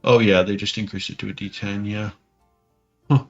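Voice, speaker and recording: A man co-hosting a casual tabletop gaming discussion, explaining things in a hesitant, halting way. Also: on the low side, steady tone. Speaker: podcast co-host. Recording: muffled lo-fi mic, faint music.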